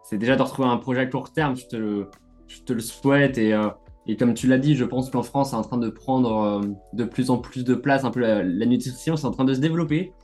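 A man's voice: mid-range voice, brisk, a little mellow, soft background music. A man hosting a podcast interview, asking long rambling questions with many hesitations. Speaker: podcast host, mental coach.

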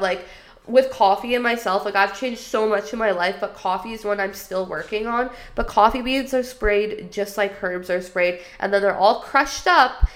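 A woman talks through her solo podcast in a casual, friendly way, with many "like" fillers. Her nose is a little stuffy. Her pitch sits in the middle for a woman. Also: fairly bright tone. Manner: chatty and upbeat, with many "like" fillers. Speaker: podcast host.

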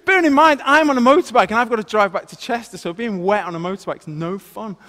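A man, once a vicar, delivers a sermon with a lively, self-deprecating story. His voice sits high for a man. A long reverb trails every phrase, as in a large hall. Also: fast talker. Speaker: former vicar giving a talk. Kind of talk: sermon with a personal anecdote.

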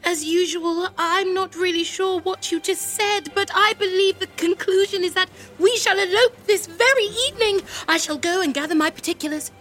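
A woman's voice: very high voice, moderate pace, hardly any pauses, a bit bright, soft background music, voice pushed up. A woman voicing a swooning, dramatic young maiden.

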